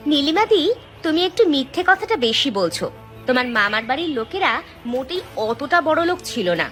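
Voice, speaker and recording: A woman's voice: sing-song, brisk, fairly bright tone. A woman voicing a blunt, confrontational younger village woman in a cartoon. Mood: defiant. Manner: high, needling, confrontational. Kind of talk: cartoon dialogue. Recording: music behind the voice.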